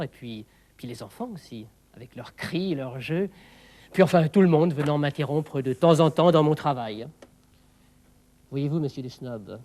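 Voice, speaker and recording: A man's voice: on the high side, darkish tone, close to an everyday voice. An actor playing a polite, flustered man in a children's television comedy, stammering and hesitant. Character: polite, flustered young man trying to work.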